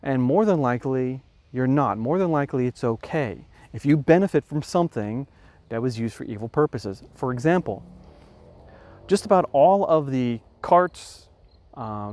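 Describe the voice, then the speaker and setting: Man, podcast host, mid-range pitch, dry close sound, thoughtful, reasoning aloud. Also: a little mellow, no echo. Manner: thoughtful, measured, frequent restarts while reasoning aloud. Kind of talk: explanatory talk on ethics.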